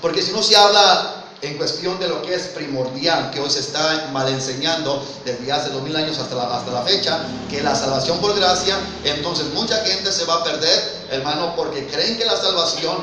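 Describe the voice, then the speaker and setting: A man's voice: on the high side, moderate pace, recorded from a distance. A man from Mexico with a Mexican Spanish accent, preaching a sermon in church in an earnest way, often calling listeners "brother" and asking rhetorical questions. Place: church hall. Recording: very echoey hall, mic from afar, faint noise.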